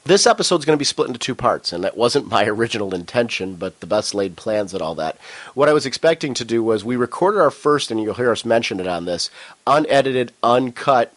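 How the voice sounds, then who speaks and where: Chatty podcast host with a cold. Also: male voice, mid-range voice, lively intonation, fairly quick. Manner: enthusiastic, chatty. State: has a cold, slightly nasal. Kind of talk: casual chat and ad reads.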